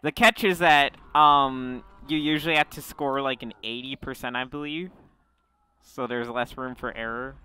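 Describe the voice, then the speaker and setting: A man's voice: medium pitch, fairly even intonation, normal speed, pushed and bright, smooth. A young man hosting a casual group podcast on a call, rambling with lots of fillers. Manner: rambling, many fillers and restarts. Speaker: podcast host.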